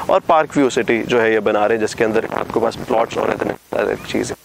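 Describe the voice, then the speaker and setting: A man in his thirties speaks with a Pakistani Urdu accent. His voice sits at an ordinary middle pitch, and he explains things in a steady, informative way. He is recorded on a clip-on mic outdoors, with a faint hum of traffic and open air behind him. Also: poor audio. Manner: steady explanatory, gestures with hands. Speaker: real estate advisor. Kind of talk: on-site property presentation. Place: outdoor hilltop overlooking construction site. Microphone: lapel mic.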